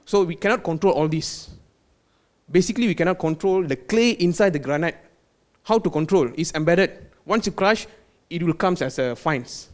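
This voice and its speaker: A man with a Malaysian accent speaks in a fairly high voice, presenting technical details at a product launch. He pauses often with fillers and restarts, slipping in the odd Malay word. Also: animated. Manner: hesitant, many fillers and restarts; mixes in Malay words. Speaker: quality control manager.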